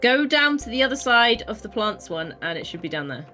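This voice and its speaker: A woman's voice: a little high, sing-song, brisk, music in the background. A woman streaming a game with a friend, chatting casually in a playful, teasing way. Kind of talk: casual chat while co-playing a game.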